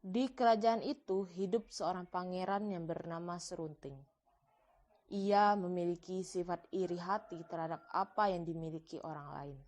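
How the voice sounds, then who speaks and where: A woman's voice: fairly low pitch, fairly even intonation, moderate pace, darkish tone. A woman narrator reading a scripted folktale for a cartoon in a storytelling style.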